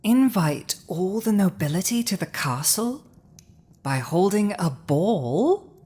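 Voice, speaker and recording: A woman playing a proud, dominant dragon ruler in an intimate audio roleplay, with a languid, haughty, theatrical delivery and dramatic pauses. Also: medium pitch, very animated, warm tone, husky, heavily acted. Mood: thoughtful, scheming.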